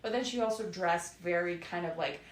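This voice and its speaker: A woman's voice: on the low side, moderate pace. A young woman hosting a podcast, telling history in a casual, slangy, irreverent way. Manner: irreverent, giggly, sweary. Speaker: podcast host. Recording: roomy, echoey sound.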